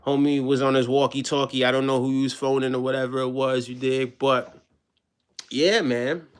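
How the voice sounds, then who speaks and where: A man's voice: medium pitch, level tone, normal speed, smooth. A man touched by talk, chatting casually on a live stream in a slangy way, often checking that listeners follow. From Jamaica. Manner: slangy, repeats check-in tag phrases.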